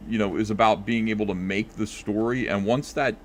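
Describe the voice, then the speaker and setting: A relaxed man with a fairly low voice answers callers on a live stream, talking things through with plenty of ums and uhs. A faint hiss sits under his voice. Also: brisk. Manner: relaxed, thinks aloud with many fillers. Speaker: comic creator answering callers. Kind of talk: casual live call-in chat.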